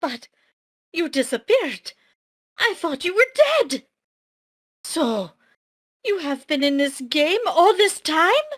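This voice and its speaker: An emotional fantasy heroine, acted by a woman, very high and swooping in pitch. She speaks in a halting, disbelieving way, as in an amateur drama read. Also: very animated, a bit bright, full character voice. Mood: stunned.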